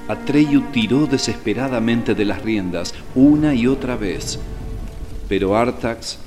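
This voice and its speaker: A man's voice: medium pitch, a little slow. A man narrating a radio drama in a scripted, dramatic style. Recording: reverberant hall sound. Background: faint music.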